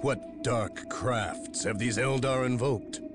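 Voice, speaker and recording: An actor playing a calm, thoughtful male space marine advisor who offers measured, reasoning counsel. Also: medium pitch, over music, close to an everyday voice. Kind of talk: video game cutscene acting.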